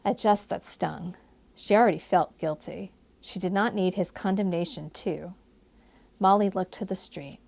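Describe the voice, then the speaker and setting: A woman's voice: on the low side, measured pace, gravelly, darkish tone. A woman narrating a historical story as an author reading her own novel. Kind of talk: expressive audiobook narration.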